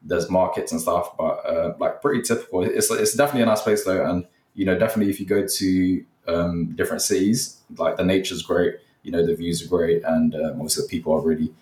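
A young man from Nepal talks casually in a very deep voice, wandering through his answers with plenty of fillers and restarts. He sits back from the microphone in a roomy, echoing space. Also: steady tone, quick pace, hardly any pauses, a little mellow, recorded from a distance. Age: young adult. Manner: rambling, many fillers, hesitant. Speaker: guest, content creator. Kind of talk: casual interview chat. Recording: echoey, from off the mic.

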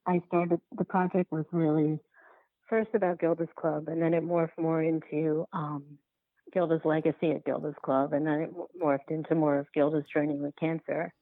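Low-voiced, creaky woman in conversation. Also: flat delivery, no echo, narrow, tinny audio. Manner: hesitant, halting, with repeated words. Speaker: documentary filmmaker, podcast guest. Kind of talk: conversational interview answers.

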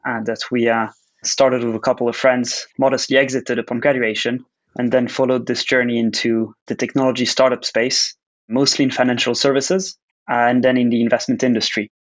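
A man from Switzerland, a company founder giving measured, explanatory answers in a podcast interview. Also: medium pitch, little pitch movement, dull audio. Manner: measured, explanatory, with frequent "uh" pauses. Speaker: guest, startup founder and CEO.

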